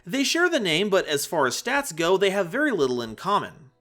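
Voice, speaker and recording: A man's voice: high voice, brisk. A man giving a playful, emphatic scripted explainer as a video host. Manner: animated, playful, emphatic delivery. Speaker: host of a card game analysis video. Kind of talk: scripted explainer narration with jokes. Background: background music.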